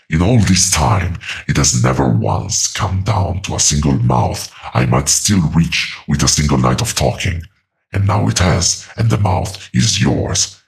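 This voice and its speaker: An ancient inhuman entity, speaks through a borrowed mouth in a horror audio drama. The voice is bottomlessly deep and thick with gravel. It moves slowly and solemnly, weighing each ornate phrase with grim weariness. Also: male voice, exaggerated voice. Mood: weary, urgent. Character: ancient two-thousand-year-old demonic entity in a borrowed mouth, weary, grave.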